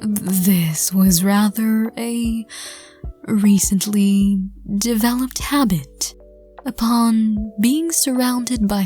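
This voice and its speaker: A woman reading scripted second-person story narration in a soft, gentle, hushed voice. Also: medium pitch. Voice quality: soft, breathy. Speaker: narrator and audio roleplay reader.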